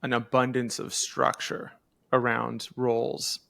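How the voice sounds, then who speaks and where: Creaky-voiced man hosting a casual interview. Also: medium pitch, completely flat delivery, unhurried, fairly bright tone, no echo. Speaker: podcast interviewer, host. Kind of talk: casual conversational commentary.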